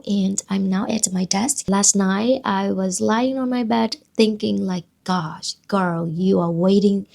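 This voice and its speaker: A woman's voice: medium pitch, gravelly. A young woman in her late twenties with a Vietnamese accent, a native Vietnamese speaker, talking to camera in a casual vlog, earnest and a little nervous, with frequent "you know" fillers. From central Vietnam. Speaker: vlogger. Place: at her desk at home. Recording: soft music behind the voice.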